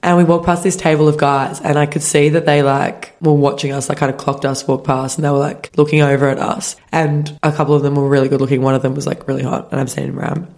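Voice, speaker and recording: A woman's voice: low-pitched, fast talker, vocal fry, hardly any pauses. Young Australian female podcast co-host, giggly and conversational, spinning casual anecdotes with quoted dialogue. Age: young adult. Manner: giggly, animated storytelling with frequent quoted dialogue. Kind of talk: casual chat, telling anecdotes.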